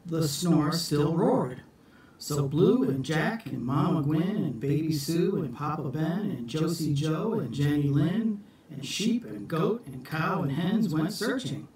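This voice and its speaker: Slow-paced middle-aged man reading a storybook. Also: on the high side, animated, darkish tone. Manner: deliberate, theatrical storybook delivery. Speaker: storytime reader for schoolchildren. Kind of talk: expressive children's picture book read-aloud. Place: small room at home, indoors. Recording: echoey room, distant phone mic.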